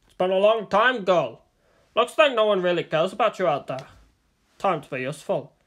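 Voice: mid-range voice, normal speed, a bit bright, lightly acted. A narrator doing a cruel, taunting male kidnapper. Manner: taunting, menacing. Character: cruel, leering adult male kidnapper, contemptuous bully.

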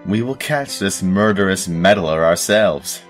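A man's voice: low voice, expressive, a little slow, soft background music. A man playing a theatrical, commanding ringleader in a comic dub. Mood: determined. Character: theatrical, commanding ringleader addressing followers as darlings.

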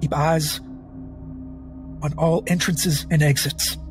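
A man playing a tabletop roleplaying game, improvising his character's actions in a halting way, searching for words. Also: on the high side, little pitch movement. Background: background music.

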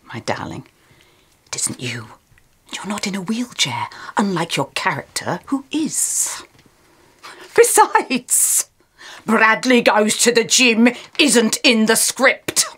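An exasperated middle-aged radio soap diva. Her voice is high and bright, swinging widely as she speaks. She is haughty, theatrical and cutting. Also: very animated, slow pace, breathy edge, exaggerated voice. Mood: withering. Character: exasperated, foul-mouthed middle-aged soap actress mid-meltdown. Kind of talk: screen comedy acting as radio drama actress. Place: small radio recording studio.

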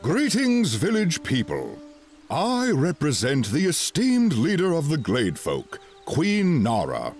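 A lofty tree-folk ambassador. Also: male voice, on the high side, huge pitch swings, slow pace, a little mellow, soft background music, full character voice. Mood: formal. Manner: formal, lofty diplomatic speech. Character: stately male tree-folk ambassador, haughty. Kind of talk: video game dialogue.

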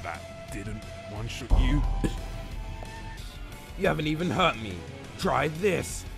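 A man voicing a menacing, boastful villain fighter with a deepened gravelly voice in an amateur anime parody dub. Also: animated, slow pace, exaggerated voice. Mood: taunting. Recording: loud music over the voice.

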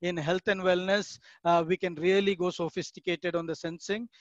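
A man giving an online keynote talk, measured and explanatory, with frequent "uh" pauses. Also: high-pitched, little pitch movement, no echo, dull audio. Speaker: keynote speaker.

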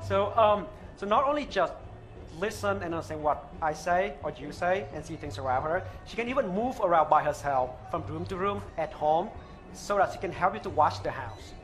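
A man in his thirties with a thick Vietnamese accent, earnestly pitching a product live on stage on a headset mic. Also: lively intonation, faint music behind. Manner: earnest, halting non-native phrasing. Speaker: startup founder pitching. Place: open-air stage at a trade show.

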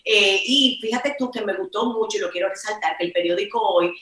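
Woman, Dominican accent, 40s, interviewer, bright tone, roomy echo, laughs often. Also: medium pitch, a little fast, a little hiss or hum. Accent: Dominican Spanish. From Dominican Republic. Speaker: host, interviewer. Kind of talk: casual interview over video call. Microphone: video call. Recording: echoey room.

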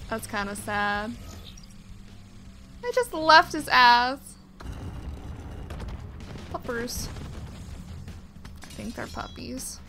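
Bright high-voiced woman gaming casually. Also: animated, extremely slow, pauses often, soft background music. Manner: slangy, reactive, swears. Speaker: let's player. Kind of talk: casual gameplay commentary.

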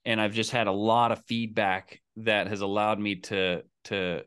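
A man's voice: on the low side, level tone, measured pace, fairly bright tone, clear, resonant tone, dry recording, studio quality. A middle-aged man chatting casually as the host of a coaching call, indoors on a video call. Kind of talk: casual chat. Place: indoor home office.

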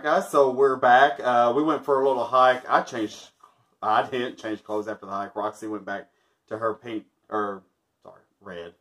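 A man's voice: medium pitch, fairly bright tone. Joking man in his thirties. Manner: joking, chuckles often. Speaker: vlogger testing a product. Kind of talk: casual product review chat. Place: small indoor room, backdrop with stars.

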